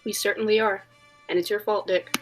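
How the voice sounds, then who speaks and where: Woman doing a eager young gal from an old radio serial, cheery, medium pitch. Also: level tone, brisk, music in the background, natural voice. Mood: gently chiding. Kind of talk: 1940s radio acting.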